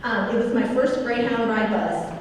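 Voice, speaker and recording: A woman's voice: a little high, normal speed. A woman in her forties, originally from Iowa, speaks at a memorial ceremony. She works from prepared remarks, catching and restarting her phrases now and then. Her voice rings through a large, reverberant hall and is picked up from some distance, with a faint background hiss. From Iowa, United States. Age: 40s. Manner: reads from prepared remarks with frequent small restarts and self-corrections. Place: large university event hall. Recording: echoey hall from far off, faint noise.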